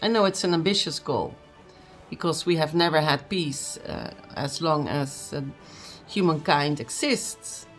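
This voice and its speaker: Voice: medium pitch, expressive, measured pace, faint music behind. A speaker giving an earnest motivational message, with many fillers and restarts. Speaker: speaker at a book launch.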